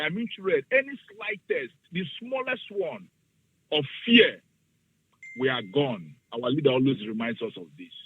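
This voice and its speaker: A man's voice: fairly high pitch, husky, no echo. A man with a Nigerian accent calling into a radio show, giving a fervent, preachy speech and slipping into Igbo phrases. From southeastern Nigeria. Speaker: radio call-in listener. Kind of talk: impassioned call-in speech. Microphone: phone. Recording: tinny phone line.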